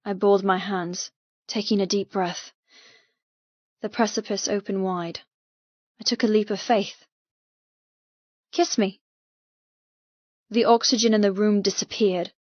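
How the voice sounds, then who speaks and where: A woman's voice: medium pitch, no echo, highs cut off. Intense female audiobook narrator, very dry sound. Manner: intense, expressive, deliberate. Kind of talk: dramatic first-person fiction narration. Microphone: close mic in dry room.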